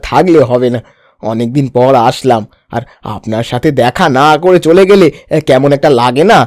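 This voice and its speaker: A man's voice: mid-range voice, normal speed, darkish tone, no echo, clean studio sound, natural voice. A man voicing a scheming village son-in-law in a comic cartoon, falsely polite and ingratiating, often repeating his words. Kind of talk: exaggerated comic cartoon dialogue.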